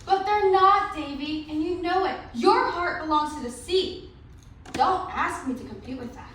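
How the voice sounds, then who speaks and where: A woman's voice: very high-pitched, normal speed, a bit bright. A woman playing a regretful but firm young sweetheart in a student readers theatre piece. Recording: echoey room recorded from far off, faint noise.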